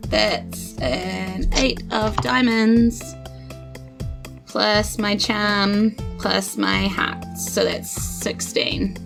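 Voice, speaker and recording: A woman's voice: medium pitch, steady tone, extremely slow, gravelly, over music. A woman with a New Zealand accent chatting casually as a player in a tabletop game.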